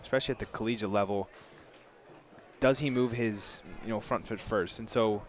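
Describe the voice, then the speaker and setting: A man's voice: lowish voice, normal speed. A young American man giving informal, explanatory baseball analysis as a color commentator on a headset mic. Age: young adult. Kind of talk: conversational sports analysis.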